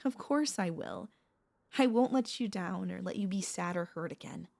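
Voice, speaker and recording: A woman playing a possessive, doting royal vampire mistress in an intimate ASMR audio roleplay, speaking in a tender, teasing, halting way with a creaky voice. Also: on the low side, animated, a little mellow. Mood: vulnerable, tender. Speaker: voice actor and vtuber.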